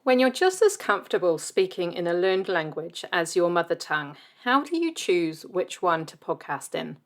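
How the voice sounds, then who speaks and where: A woman's voice: fairly low pitch, very animated, normal speed. A woman hosting a podcast who reads a scripted intro and then welcomes and questions her guest in a friendly way. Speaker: podcast host.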